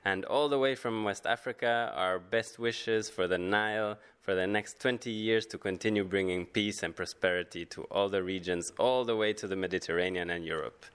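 A man's voice: sits low, moderate pace, few pauses, a bit bright, clear, resonant tone. A man working in development aid, giving a cheerful, fluent wish. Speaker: development worker.

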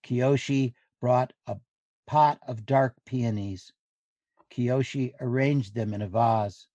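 A man giving a literary reading of diary entries in measured, deliberate phrases. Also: mid-range voice, dark tone, no room sound, muffled recording.

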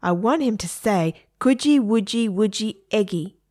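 A woman's voice: medium pitch, animated, measured pace, mellow, natural voice. A woman voicing a bossy, impatient young male prankster in a read-aloud story.

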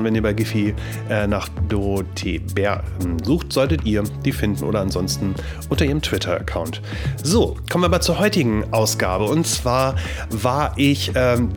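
Casual male podcast host with a slight cold, filler-heavy delivery, music bed underneath. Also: medium pitch. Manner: chatty, many filler sounds. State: has a cold. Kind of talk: casual podcast intro and interview.

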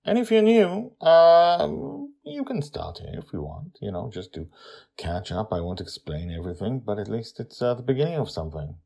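A man's voice: medium pitch, huge pitch swings, normal speed, creaky, dark tone. A man hosting a story podcast, talking informally and emphatically as he introduces and explains episodes.

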